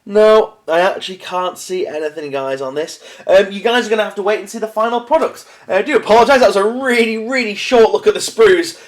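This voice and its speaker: A man's voice: sits high, brisk, few pauses. Sniffly chatty man. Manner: chatty, apologetic, many fillers and restarts. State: stuffy with a cold. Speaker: miniatures hobby video host. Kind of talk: casual unboxing talk to camera.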